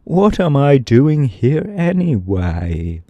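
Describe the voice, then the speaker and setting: A man voicing a humble, simple-minded wooden sawhorse come to life, talking in a slow, wondering drawl. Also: mid-range voice, sing-song, dark tone, no echo, dull audio, pitched above the performer's own voice.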